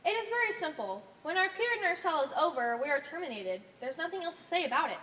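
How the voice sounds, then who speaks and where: A woman's voice: extremely high pitch, sing-song, fast, bright, forceful tone, heavily acted. A woman on stage playing a solemn member of a strange blue cult, earnest and matter-of-fact. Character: solemn blue cult member from a laboratory-made cell society. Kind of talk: amateur one-act stage play acting. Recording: echoey stage, noisy room ambience.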